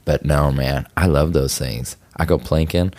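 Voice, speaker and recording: A man's voice: very deep voice, steady tone, unhurried, darkish tone, dry recording. A man from Nebraska, a guest musician on a podcast, chatting in a laid-back, friendly way in a home studio room. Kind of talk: casual chat.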